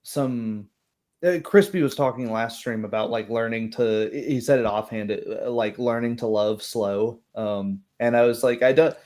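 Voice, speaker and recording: A man's voice: mid-range voice, moderate pace, darkish tone. A man chatting casually as a game master, thinking aloud and searching for his words. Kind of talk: casual chat.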